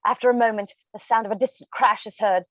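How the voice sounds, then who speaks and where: A woman's voice: a little high, fairly even intonation, fast, no echo, muffled recording. A woman narrating scripted stage directions for a play reading.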